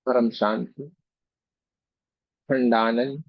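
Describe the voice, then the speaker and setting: A man guiding a meditation in a gentle, unhurried, devotional way, giving instructions phrase by phrase with long pauses. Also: medium pitch, steady tone, no echo, lo-fi sound. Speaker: meditation guide and Reiki teacher. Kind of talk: guided meditation, slow spoken instruction with pauses.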